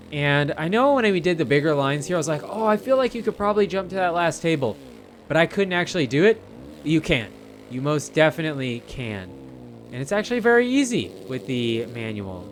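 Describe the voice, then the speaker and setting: A man's voice: mid-range voice, a little hiss or hum. A man giving casual, rambling gameplay commentary as a video creator, often repeating phrases for emphasis. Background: racing game vehicle engine sounds.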